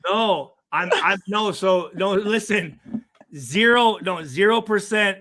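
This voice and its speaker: A man's voice: high-pitched, slow, bright, forceful tone. A man in his thirties chatting casually as a podcast co-host, rambling and joking with frequent swearing. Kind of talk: casual chat.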